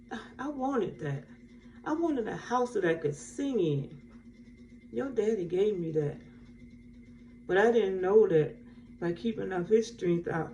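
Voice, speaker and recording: Weary, resilient wife and mother played by a woman, stage monologue, mellow, earnest, distant from the mic. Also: lowish voice. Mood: regretful, resolute. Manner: earnest, reflective, folksy phrasing. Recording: muffled, distant mic, faint noise.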